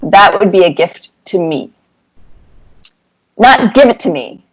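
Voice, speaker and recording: A woman in her fifties speaks as an interview guest. Her delivery is casual and reflective, at a middle pitch, explaining things through small personal stories. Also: expressive, slow, husky, poor audio. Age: 50s. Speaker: cartoonist guest being interviewed.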